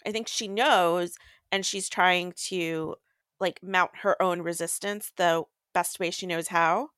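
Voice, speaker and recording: A woman's voice: on the low side, steady tone, slow pace, fairly bright tone, no echo. Opinionated woman, close dry sound. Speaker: book podcast co-host. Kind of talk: casual chat.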